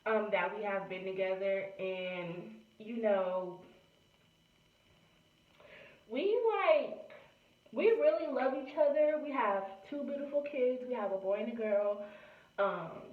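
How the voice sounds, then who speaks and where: A woman, chatting casually to camera and filling her talk with "you know". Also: fairly high pitch. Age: late 20s. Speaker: vlogger. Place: room at home. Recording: echoey, distant, tinny phone-like sound.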